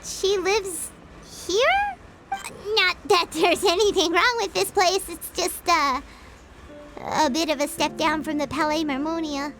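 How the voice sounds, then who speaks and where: A woman voicing a small, cheeky, childlike fairy companion in a video game, bubbly and excitable. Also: very high-pitched, a little slow. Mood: surprised, teasing. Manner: refers to self in third person, bubbly. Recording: loud music behind. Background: loud playful background music.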